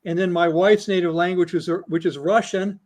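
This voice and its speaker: A man's voice: sits high, fairly even intonation, moderate pace, a little mellow. A chatty man talking casually in an online meeting, self-deprecating. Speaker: guest presenter.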